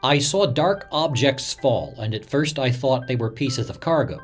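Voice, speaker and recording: A man's voice: medium pitch, lively intonation, a little slow, faint music behind, natural voice. A man playing an earnest radio reporter, dramatically recalling a disaster he witnessed, in a first-person story. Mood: somber. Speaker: narrator of a radio reporter's memories.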